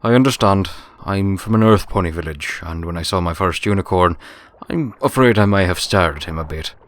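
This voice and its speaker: A man's voice: low voice, fairly quick, natural voice. A man voicing an elderly earth pony manservant in a storybook, deferential, folksy and plain-spoken. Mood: polite, wry. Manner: plain-spoken, polite, understated.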